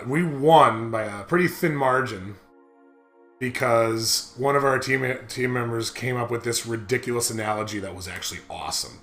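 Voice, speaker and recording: A man's voice: medium pitch, pushed and bright. A young American man rambling emphatically and profanely in casual storytelling as he co-hosts a game playthrough. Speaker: co-host of a video game playthrough. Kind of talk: casual commentary and personal storytelling. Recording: roomy echo, faint music.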